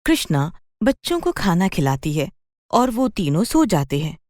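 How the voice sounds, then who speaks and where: A woman narrator tells a scripted children's story in a fairly low voice. Her delivery moves widely in pitch, and the sound is dry and close. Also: very animated, moderate pace, darkish tone, no echo.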